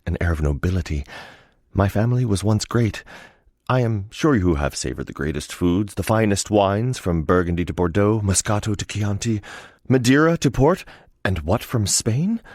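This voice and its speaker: A man's voice: on the low side, darkish tone, no room sound, studio quality. An actor playing an embittered fallen nobleman in a madhouse radio drama, unctuous and theatrical, savoring his words.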